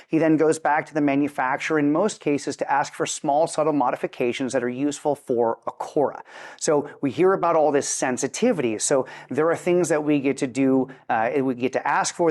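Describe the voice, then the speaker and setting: Man, fairly high voice, articulate product presenter, explanatory interview style, rhetorical flourishes. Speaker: company representative presenting a product.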